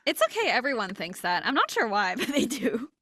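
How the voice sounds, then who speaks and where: A woman's voice: a little high, sing-song, a little fast, bright, forceful tone, no room sound. A woman speaking casually as an interview guest, candid and self-deprecating, with some loose swearing. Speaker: interview guest, voice actor and illustrator.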